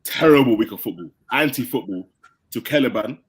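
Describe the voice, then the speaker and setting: A man's voice: medium pitch, animated, fairly quick, husky. A young man from London with a London accent, calling into an online fan hangout and insisting on having his say. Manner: insistent, swears, talks over others. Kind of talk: casual chat. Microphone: online voice call.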